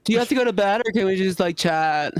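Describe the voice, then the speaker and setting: A woman's voice: medium pitch, fast talker, a crowd in the background, voice pushed up, natural voice. A woman voicing a chirpy, secretive young female agent reporting in, in a giggly sing-song manner. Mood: teasing.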